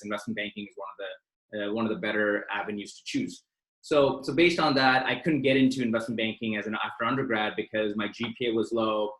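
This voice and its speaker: Fast-talking man mentoring students. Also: lowish voice, fairly bright tone, echoey room, rough recording. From Pakistan. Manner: informal and direct, lots of fillers, addresses listeners as "you guys". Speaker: career mentor hosting a webinar. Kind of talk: casual, interactive online presentation.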